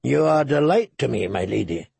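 A man's voice: medium pitch, animated, a little fast, vocal fry, darkish tone, husky, no room sound, heavily acted. A man voicing an earnest, courteous young lord in an audiobook. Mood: tender.

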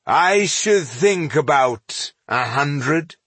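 Fantasy audiobook dwarf king read by a man, contemptuous and proud, deliberate delivery with swooping pitch. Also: expressive, fairly bright tone, breathy edge, no room sound, exaggerated voice. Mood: bored contempt. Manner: haughty, dismissive. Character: proud, callous red-browed male dwarf warlord, self-appointed High King.

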